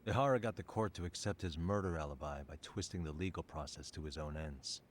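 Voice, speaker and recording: A man's voice: very deep voice, brisk, a little hiss or hum. An actor voicing a blunt, sardonic male detective and lawyer in a video game, with dry, matter-of-fact delivery.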